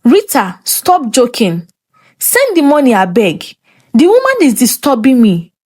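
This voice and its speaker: A bossy, unwelcoming older sister from a folktale, acted out by a woman with a Nigerian accent. She is curt and dismissive, slow in delivery, with wide swings in a fairly high voice. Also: wildly swooping pitch, darkish tone, husky, full character voice. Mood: impatient.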